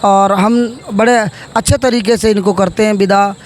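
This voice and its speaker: High-pitched man in his thirties. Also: fairly even intonation, unhurried, a little hiss or hum. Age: 30s. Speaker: politician, interviewee. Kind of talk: interview answers.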